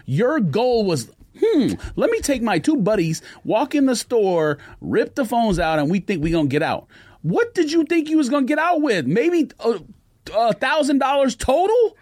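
A man's voice: extremely high pitch, very animated, normal speed, a little mellow, no room sound. A man with an American accent ranting casually as a podcast co-host, blunt, slangy and emphatic. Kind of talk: casual chat, opinionated ranting.

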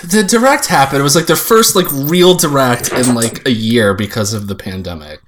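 A man's voice: mid-range voice, lively intonation, normal speed, a bit bright, slight background noise. A man chatting casually and giving opinions as a podcast panelist, wry and rambling, often repeating words.

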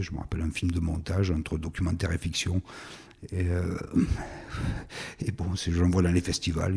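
A middle-aged filmmaker with a southern French accent, recounting his career in a halting way, with many restarts and fillers. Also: low voice, gravelly, dark tone, husky. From southern France.

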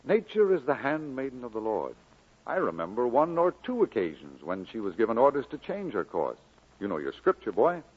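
An actor playing a kindly, earnest village preacher, exhorting in a measured 1940s radio style with a husky voice. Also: male voice, mid-range voice, wildly swooping pitch. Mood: encouraging. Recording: narrow, phone-like old radio recording.

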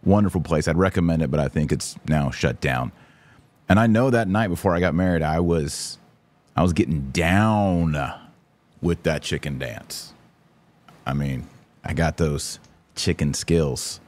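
A man's voice: a bass voice, a little slow, darkish tone. A middle-aged American man hosting an opinion podcast, commenting emphatically with exasperation and personal anecdotes.

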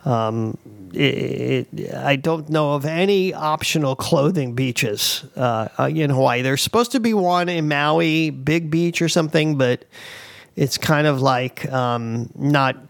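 Middle-aged East Coast man, podcast host; gravelly, dry, loose and rambling with fillers. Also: fairly high pitch, takes it slowly, no echo. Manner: rambling, many fillers and restarts. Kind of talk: casual advice talk.

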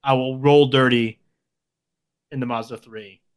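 A man co-hosting a casual podcast who makes dry, deadpan jokes. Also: medium pitch, measured pace, smooth. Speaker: podcast co-host.

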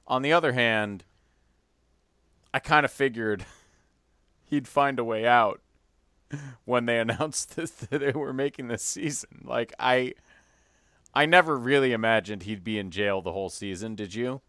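Wry man with a smooth, clear voice. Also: medium pitch, a little slow, long pauses, no echo. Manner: wry, dry humor, trailing off quietly. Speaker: podcast co-host. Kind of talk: casual chat.

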